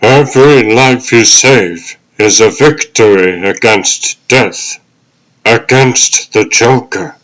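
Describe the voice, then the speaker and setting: A man voicing the ghost of an old butler, fatherly, earnest and deliberate, in a dramatic comic dub. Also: medium pitch, fairly bright tone, low-quality recording. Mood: tender, encouraging.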